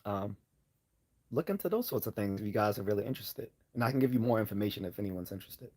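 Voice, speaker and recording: Hoarse man rambling through a livestream. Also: on the low side, expressive, quick pace, darkish tone. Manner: rambling, hesitant, many fillers and restarts. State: hoarse, losing his voice. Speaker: livestream host answering chat questions. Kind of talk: casual live Q&A chat.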